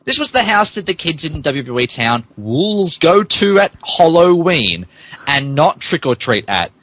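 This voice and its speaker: A man's voice: fairly high pitch, big pitch swings, unhurried, a bit bright, no echo, rough recording. A man hosting and narrating, moving between casual chat and mock dramatic reading, amused and stumbling over garbled text. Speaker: podcast host and narrator.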